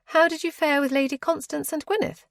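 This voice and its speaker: A woman voicing an eager young gentlewoman with a brisk, cheerful manner. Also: sits high, fairly even intonation, creaky, dry recording, clean studio sound, voice pushed up.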